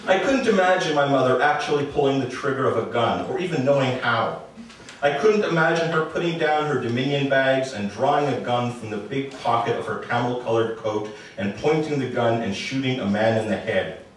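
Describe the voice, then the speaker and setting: A man's voice: medium pitch, a little fast. Echoey, distant man reading prose aloud. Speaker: author reading at a literary event. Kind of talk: live literary reading of first-person prose. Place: indoor literary party, before an audience. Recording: echoey room, distant mic, faint noise.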